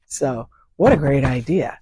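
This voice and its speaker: An older hoarse woman, leader of a vaccine advocacy group, earnestly explaining health topics in an interview from home with simple analogies. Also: rumbling low, expressive, a little slow, dark tone, slight background noise, poor audio. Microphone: remote interview from home.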